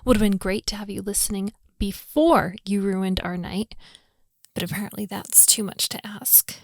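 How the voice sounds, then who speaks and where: A woman's voice: medium pitch, normal speed, no room sound, studio quality, lightly acted. A woman playing a hot-tempered, lovestruck young daughter of a mafia boss in a girlfriend roleplay audio drama, exasperated and scolding. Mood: sarcastic, menacing. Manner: scolding, exasperated, with sighs and breathy asides.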